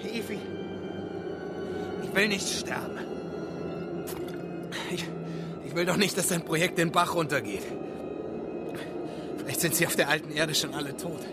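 A man's voice: on the high side, over loud music. An actor playing an embittered, domineering man stranded on a lonely planet in a science fiction radio drama. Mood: despairing, pleading. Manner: scornful in quarrels, halting and pleading when desperate. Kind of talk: German radio drama acting.

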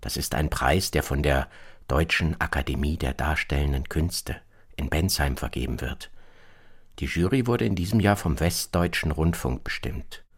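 A man reading scripted radio documentary narration in a measured, matter-of-fact manner. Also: very deep voice, breathy edge. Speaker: narrator, presenter.

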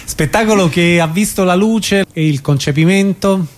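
A man hosting a radio interview, introducing the guest and throwing in quick questions and laughs. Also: high voice. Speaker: radio host.

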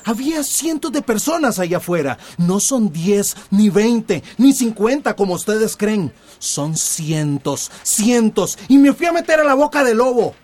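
Animated, flustered young messenger. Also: male voice, high voice, unhurried, full character voice. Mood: indignant. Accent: Central American. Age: young adult. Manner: stammering, agitated. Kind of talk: radio drama acting.

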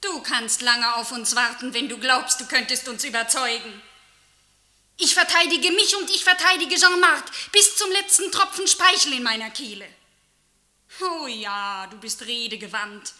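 A fierce young woman in a radio play. Her voice is high and bright with a tense edge, and she declaims each line with resolute, protective defiance. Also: a little slow, full character voice. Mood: fierce, defiant. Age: young adult. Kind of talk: theatrical German radio drama acting.

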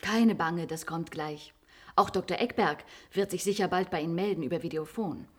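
An actress playing a polite, breezy attendant at an eerie clinic in a German radio play, courteous and reassuring. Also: female voice, fairly low pitch, moderate pace, natural voice. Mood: helpful, breezy.

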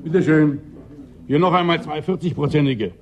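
A man's voice: on the high side, normal speed, lo-fi sound, natural voice. A man playing a courteous, obliging and knowing bar waiter in a German radio drama.

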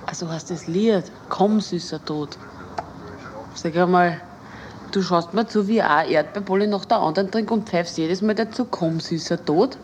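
A woman's voice: on the low side, normal speed, slight background noise, natural voice. An actress playing a teasing, incredulous woman in naturalistic film dialogue, insistent and colloquial. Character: teasing, incredulous woman needling her companion.

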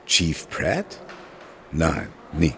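A man's voice: rumbling low, wildly swooping pitch, very slow, a bit bright, soft background music, exaggerated voice. An actor playing a reflective novelist confiding his memories in a German radio drama. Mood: obliging.

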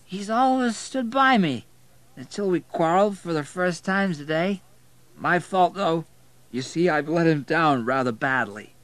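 Audiobook drunk: male, slurring and drawling, emotional, pitched well up. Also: animated, unhurried, fairly bright tone, no room sound. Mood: maudlin regret.

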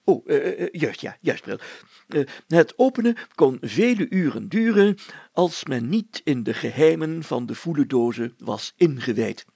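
Wanted: a man to play a pompous, husky-voiced storybook mayor, older, fussy, hesitant and stammering, recorded close and dry. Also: on the high side, animated, moderate pace, a little mellow, no room sound, full character voice. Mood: flustered, scholarly. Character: fussy, flustered, pompous older male mayor reading aloud. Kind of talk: children's audio story character voice.

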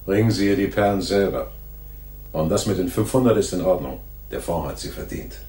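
A man playing a calm, authoritative police detective in a radio crime drama, measured and firm. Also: deep voice. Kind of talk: 1980s German radio crime drama acting.

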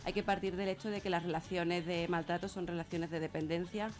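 A woman with a Spain accent and a fairly low voice talks in a radio discussion. She explains earnestly, circling back to rephrase, with music playing behind her. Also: steady tone, a little fast, darkish tone. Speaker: guest speaker on gender violence.

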